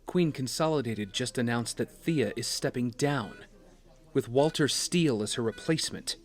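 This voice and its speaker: A man's voice: medium pitch, moderate pace, natural voice. An actor playing a serious, determined man protective of his sister, in a naturalistic audio drama style. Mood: urgent, focused. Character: guilt-ridden, determined brother tracking his sister, protective and earnest.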